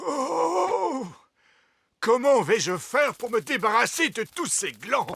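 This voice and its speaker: Fussy cartoon fairy king, drawn out and pompous, really lively pitch. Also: takes it slowly, creaky, no room sound, heavily acted. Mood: flustered. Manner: pompous, theatrical, dithering. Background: music.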